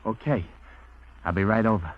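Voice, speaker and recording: An actor playing a nervous, shifty small-time informer with an American accent, stammering and pleading in vintage radio crime style. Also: male voice, fairly low pitch, moderate pace, close to an everyday voice. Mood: shifty, hesitant. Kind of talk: 1940s-1950s radio crime acting. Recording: dull vintage radio recording, music behind.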